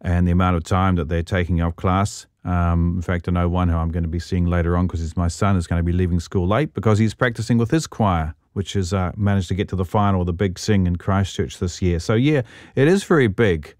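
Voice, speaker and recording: A middle-aged man, hosting an interview in a relaxed, conversational way. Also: sits low, a little fast, few pauses, no room sound, clean studio sound. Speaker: radio host.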